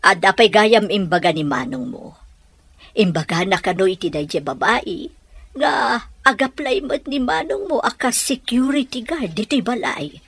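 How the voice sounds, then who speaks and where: A woman's voice: medium pitch, very animated, unhurried, a bit bright, breathy edge, no echo, exaggerated voice. A woman playing a scheming, manipulative middle-aged mother in a radio drama, drawling insinuatingly with an Ilocano accent. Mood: conniving.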